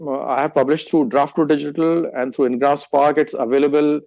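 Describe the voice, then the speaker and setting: A man's voice: fairly high pitch, flat delivery, a little mellow, no room sound, lo-fi sound. A man from India with an Indian accent, a Hindi speaker, answering interview questions with frequent restarts and fillers. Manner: halting, many restarts and fillers. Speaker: guest, author and meditation teacher.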